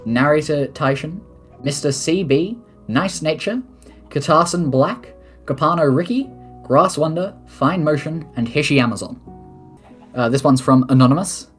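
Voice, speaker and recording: A speaker taking submitted questions in a mock-formal political address, reading official statements with casual asides. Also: mid-range voice. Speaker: head of government of an online role-play nation.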